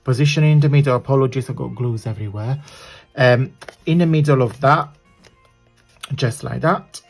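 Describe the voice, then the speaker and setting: A man with a croaky voice hosting a casual craft tutorial, chatting and apologizing as he works with his hands at a desk. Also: medium pitch, normal speed, faint music behind.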